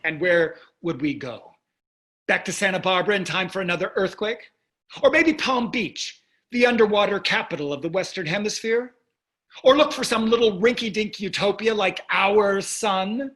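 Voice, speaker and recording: An actor playing a wealthy, cynical husband in a staged play reading, sarcastic and dismissive in delivery. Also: male voice, high-pitched, moderate pace, fairly bright tone. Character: wealthy, cynical, callous, golf-loving husband.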